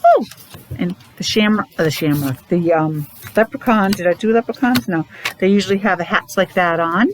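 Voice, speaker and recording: A woman's voice: on the low side, lively intonation, slight background noise, poor audio. A woman preschool teacher leading a counting lesson for young children, encouraging and asking lots of questions. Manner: encouraging, asks questions with pauses.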